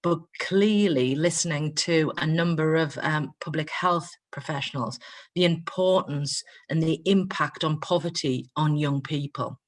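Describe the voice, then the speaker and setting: A woman's voice: low-pitched, unhurried. A middle-aged woman, a university dean, giving an earnest welcome speech over a video call, with frequent ums. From not from Greater Manchester. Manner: warm, earnest, with frequent um fillers.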